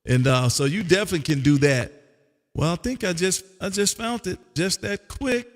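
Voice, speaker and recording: American man, higher-pitched, in heavy reverb. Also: normal speed. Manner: folksy, repeats his phrases. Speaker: amateur radio operator and live stream host. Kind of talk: casual ham radio chat.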